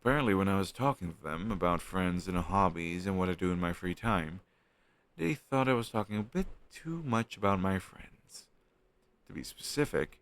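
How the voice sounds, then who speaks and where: A man's voice: deep voice, fairly bright tone. A man playing a flustered young man shyly hiding a crush on his best friend, speaking hesitantly and sheepishly in an intimate audio roleplay. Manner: hesitant, sheepish, halting conversational delivery. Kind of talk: intimate one-sided conversational audio roleplay.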